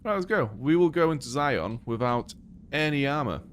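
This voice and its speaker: A man's voice: mid-range voice, normal speed, a little hiss or hum. A man from Poland with a British accent, born Polish-speaking, chatting casually as he plays a game on a live stream and thinks aloud. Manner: relaxed, thinking aloud while playing.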